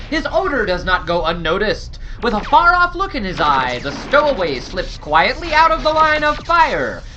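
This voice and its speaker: A woman's voice: on the high side, sharp tone. A woman narrating a scripted serial in a dramatic storytelling style. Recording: dull lo-fi sound with faint noise.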